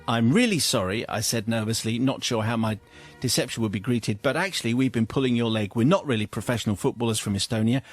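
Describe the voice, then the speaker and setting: A man's voice: mid-range voice, fast talker. A man hosting a radio show, reading a listener's letter aloud and often breaking into laughter.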